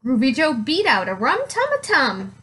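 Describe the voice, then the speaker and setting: High, animated woman reading to children. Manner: expressive, deliberate, emphatic phrasing for children. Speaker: nurse reading a children's picture book. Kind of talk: children's read-aloud storytelling.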